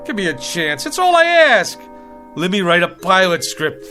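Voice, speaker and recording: An actor playing an eager, pushy, luckless would-be writer, with an American accent and slangy, wheedling patter, in a comic drama. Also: male voice, high-pitched, sing-song, normal speed, bright, forceful tone, faint music behind, exaggerated voice. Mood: pleading, eager. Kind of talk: comic television drama acting.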